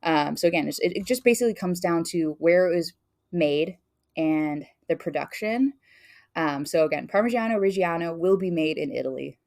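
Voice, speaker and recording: A woman's voice: on the low side, lively intonation, normal speed, creaky, darkish tone. A woman co-hosting a podcast, chatting casually and rambling with many fillers and restarts. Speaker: podcast co-host. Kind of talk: casual chat tasting cheese.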